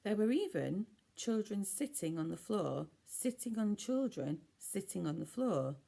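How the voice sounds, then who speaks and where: A woman's voice: mid-range voice, big pitch swings, measured pace, vocal fry, warm tone. A woman reading a children's storybook aloud expressively, as a teacher does for a class.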